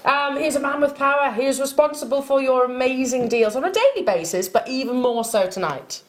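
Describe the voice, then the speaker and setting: A woman's voice: high-pitched, lively intonation, a little fast. A woman with a Welsh accent presenting a live TV shopping show, chatty and upbeat as she talks with guests and greets viewers. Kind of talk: live TV selling chat. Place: TV studio.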